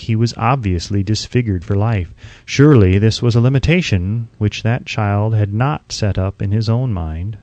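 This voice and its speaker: A man reading an audiobook as scripted narration, in a measured, emphatic, oratorical way. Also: medium pitch, a little mellow, no echo, lo-fi sound.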